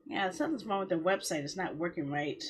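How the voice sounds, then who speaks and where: A woman's voice: on the low side, fast talker. A woman chatting casually to her viewers on a livestream, rambling in a mildly complaining, self-justifying way. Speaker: livestreamer, game streamer. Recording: steady background noise.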